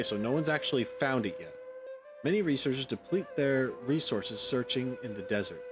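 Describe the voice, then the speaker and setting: A man doing casual let's play commentary, thinking aloud informally and reading game text out as he goes. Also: lowish voice. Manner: informal, rambling, thinks aloud, mild swearing. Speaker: video game streamer.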